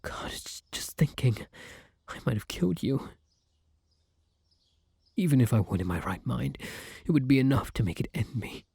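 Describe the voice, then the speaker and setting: A man's voice: mid-range voice, very animated, warm tone, no echo, studio quality, full character voice. A man playing a hungry vampire in an ASMR roleplay, theatrical and intimate, predatory yet devoted to his beloved. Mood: desperate, grieving. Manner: theatrical, drawn-out endearments, breathy whispers. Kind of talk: dramatic ASMR roleplay acting.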